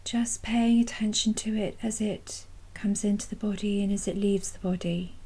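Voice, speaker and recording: A woman leading a guided meditation in a soft, breathy, husky voice, gently and without hurry, leaving long pauses. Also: mid-range voice, fairly even intonation. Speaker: mindfulness practice guide. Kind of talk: guided body scan meditation.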